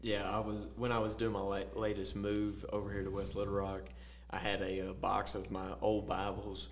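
A man co-hosting a faith podcast, telling stories in a casual, animated way with many fillers. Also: lowish voice, moderate pace, slight background noise. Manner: storytelling, animated quotes, filler-heavy. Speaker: podcast co-host.

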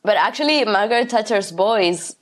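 A woman's voice: medium pitch, expressive, normal speed. A woman in casual conversation who talks bluntly, swears freely, stops to search for words and laughs through her stories. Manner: laughs while telling stories. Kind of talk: casual chat.

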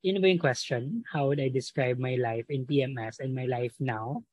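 A man's voice: medium pitch, darkish tone, breathy edge, no room sound, lo-fi sound. Reflective Filipino young man, dry close sound. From Bohol, Philippines. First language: Cebuano. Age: 20s. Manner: reflective, thinking aloud with pauses and restarts. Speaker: podcast guest, medical student. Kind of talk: casual interview chat.

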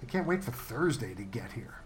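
A man plays an easygoing, food-minded office worker in a comedy audio play. He sounds casual and unbothered, with very lively pitch movement, over faint background noise. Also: brisk, close to an everyday voice. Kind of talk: comic audio play acting.

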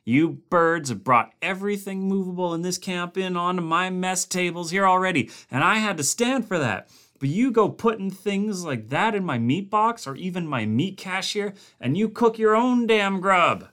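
Male reader plays a blustery cook, raised and loud, lots of swing in the voice. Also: high-pitched, lively intonation, normal speed, pitched above the performer's own voice. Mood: indignant. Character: gruff, grumbling middle-aged male camp cook.

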